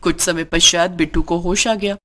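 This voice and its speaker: A woman's voice: lowish voice, fairly even intonation, fairly quick, fairly bright tone. A woman narrator reading a scripted cartoon story.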